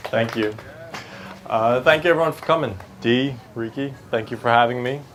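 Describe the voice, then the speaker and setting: A man from the Bahamas in his thirties gives a conference talk as a consultant, easygoing and conversational. His voice sits at a middling pitch. He speaks into a handheld mic in an open-air pavilion, with a faint hum of outdoor ambience behind him. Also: normal speed. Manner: relaxed, conversational presenting. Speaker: speaker, digital marketing consultant.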